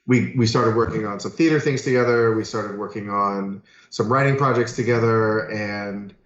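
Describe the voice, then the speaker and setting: A man from Florida, a screenwriter guest on a podcast, talking relaxed with dry humor and many fillers. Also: fairly low pitch, moderate pace. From Fort Lauderdale, Florida. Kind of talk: casual interview chat. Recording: echoey remote call, dull.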